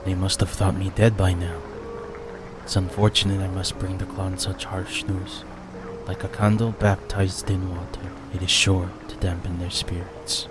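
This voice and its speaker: Man playing a grave, low-voiced ninja master. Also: little pitch movement, over music, natural voice. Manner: formal, measured, solemn. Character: grave, honor-bound male ninja master of small folk. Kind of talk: dramatized fantasy audiobook.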